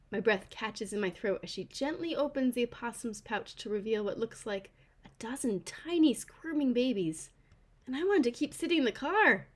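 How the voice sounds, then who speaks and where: A woman, an author, reading her children's novel aloud in an animated, informal way. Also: fairly high pitch.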